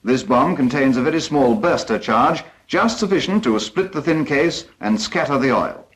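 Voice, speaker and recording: A man's voice: medium pitch, lively intonation, normal speed. A British man narrating a 1940s instructional film from a script in a formal, precise, instructive manner.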